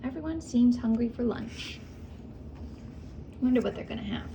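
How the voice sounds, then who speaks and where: A woman's voice: on the high side, normal speed, gravelly, warm tone, slight background noise. A woman reading a children's picture book aloud with expression, stopping to ask her young listeners questions. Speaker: read-aloud host.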